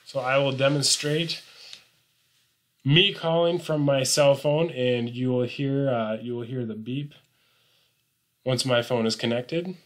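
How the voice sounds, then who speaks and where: Man giving an informal software tutorial. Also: medium pitch, fairly even intonation, measured pace, long pauses. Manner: informal, step-by-step explaining. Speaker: tutorial presenter. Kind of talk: screen-recorded software tutorial, unscripted explanation.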